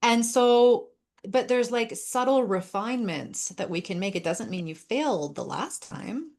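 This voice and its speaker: A woman hosting a podcast, speaking in a relaxed, reflective tone in a casual interview. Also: fairly high pitch, unhurried. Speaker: podcast host.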